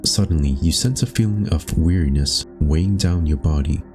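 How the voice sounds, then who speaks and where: A man reading scripted second-person narration for a dark existential story in a solemn, measured, brooding manner. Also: sits low, faint music behind.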